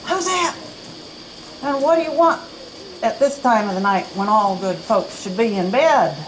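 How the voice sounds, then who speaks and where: A scared old man from the deep woods shouts up high for his dogs, as a woman acts him out. His calls are loud and strained, pitched well above her usual voice. There is a steady hiss of room noise behind it. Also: unhurried, poor audio. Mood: frightened. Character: frightened old backwoods man.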